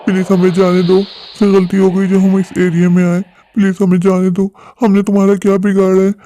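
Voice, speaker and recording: Man playing an earnest young bridegroom, mellow, highish voice. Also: completely flat delivery, brisk, slight background noise, natural voice. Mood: frightened pleading. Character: earnest young eloping bridegroom, protective, pleading. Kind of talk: cartoon story dialogue.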